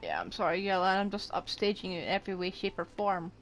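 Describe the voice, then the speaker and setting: A woman's voice: lowish voice, sing-song, fast, soft background music. A woman giving casual, playful gameplay commentary, muttering reactions to herself as she streams. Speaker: game streamer.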